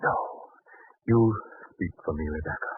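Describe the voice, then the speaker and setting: An actor playing a doubting, determined father of a sick son, with solemn, halting speech in 1940s radio drama style. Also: rumbling low. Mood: anguished, faltering. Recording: noisy, narrow-band 1940s radio recording.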